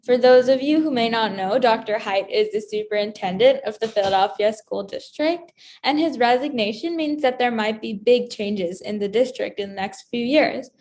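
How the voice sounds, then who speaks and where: High-voiced American teenage girl co-hosting. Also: normal speed, few pauses, a little mellow, a little hiss or hum. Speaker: student podcast co-host. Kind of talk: casual podcast chat.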